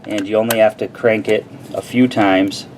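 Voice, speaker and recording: A man's voice: lowish voice, little pitch movement, moderate pace, darkish tone. A man giving a casual, plain-spoken product review and demonstration in an informal way. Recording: clearly noisy background.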